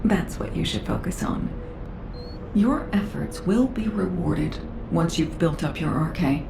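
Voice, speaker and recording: An actress playing a shrewd female researcher in a game, speaking in a measured, probing way. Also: fairly low pitch, vocal fry, roomy sound. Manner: measured and probing, with lively inflection. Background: game music.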